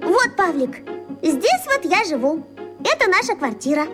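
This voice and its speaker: A woman's voice: extremely high pitch, very animated, measured pace, over music, exaggerated voice. A woman voicing a bossy, decisive and earnest little schoolgirl in a children's radio play. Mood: insistent.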